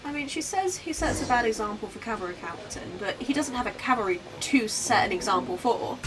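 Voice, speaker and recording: A woman's voice: on the high side, expressive, fairly quick. A woman game streamer giving casual live commentary. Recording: distant mic under game music. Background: loud game music.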